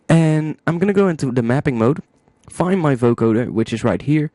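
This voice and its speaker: A man hosting a casual step-by-step music software tutorial, thinking aloud informally as he demonstrates. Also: a little high, dry recording, studio quality. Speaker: music production tutorial host.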